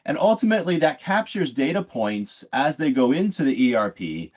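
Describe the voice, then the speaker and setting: A man giving a live software demo in an explanatory, conversational way, often pausing mid-phrase. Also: on the high side, sing-song. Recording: dull, muffled lo-fi audio.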